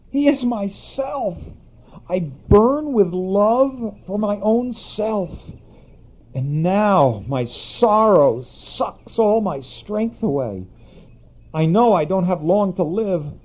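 A man's voice: a little high, mellow, husky, exaggerated voice. An actor playing an anguished young man in love with his own reflection, pleading in an impassioned, declamatory way. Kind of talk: radio drama acting. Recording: dull lo-fi recording.

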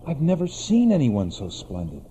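Man playing a mellow, swooping, vain youth. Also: mid-range voice, wildly swooping pitch, moderate pace, a little hiss or hum. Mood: awestruck. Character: vain, awestruck beautiful youth of Greek myth. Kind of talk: dramatized storytelling of a myth.

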